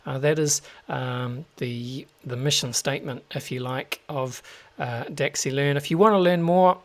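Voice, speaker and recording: A man's voice: medium pitch, unhurried. A man with a New Zealand accent hosting a show, talking casually to listeners with lots of fillers and restarts. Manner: chatty, many fillers and restarts. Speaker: host.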